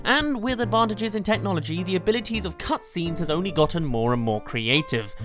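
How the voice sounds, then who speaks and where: A narrator presenting a scripted countdown review with emphatic, expressive delivery. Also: mid-range voice, brisk, over music. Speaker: video game reviewer, host.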